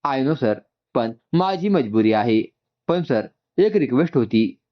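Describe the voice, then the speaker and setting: A man voicing an earnest young woman designer who pleads with her boss, his pitch lifting a little. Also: animated, a little slow, highs cut off, close to an everyday voice. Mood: pleading.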